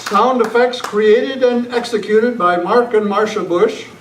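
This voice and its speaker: A man's voice: high voice, unhurried. A man reading scripted credits as an old-time radio announcer at a club meeting. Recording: echoey distant room, loud noise and crowd. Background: audience noise.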